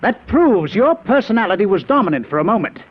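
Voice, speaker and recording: Intense professor, animated, old radio sound. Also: male voice, high voice, fairly quick, breathy edge, exaggerated voice. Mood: exultant. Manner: urgent, declamatory, commanding. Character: driven, intense male scientist professor. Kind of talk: 1950s radio drama acting. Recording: dull, faintly noisy vintage radio recording.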